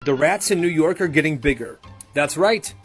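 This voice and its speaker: A man's voice: fairly high pitch, animated, normal speed, faint music behind. A man narrating short comic stories in a casual, slangy, conversational style. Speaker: storyteller, host of short comedy videos. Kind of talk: casual comedic storytelling narration.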